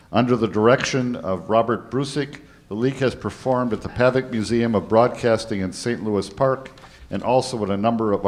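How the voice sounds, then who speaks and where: A man reading a scripted introduction to a performance as its presenter. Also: fairly low pitch.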